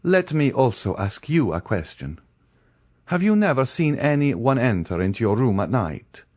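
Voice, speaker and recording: A man's voice: medium pitch, sing-song, a little mellow, dry recording, clean studio sound, pitched above the performer's own voice. A man playing a grave, fatherly count. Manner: measured, solemn warnings. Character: grave, calm nobleman, protective and fatherly.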